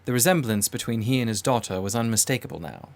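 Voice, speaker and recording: A man reading dramatic first-person fiction in a deliberate, literary manner. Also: mid-range voice, animated. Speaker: audiobook narrator.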